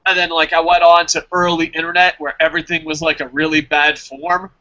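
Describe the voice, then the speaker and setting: A man's voice: fairly high pitch, flat delivery, fairly quick, sharp tone, lo-fi sound. An American man chatting casually and emphatically about his opinions as a review show host, swearing freely. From New Jersey, United States.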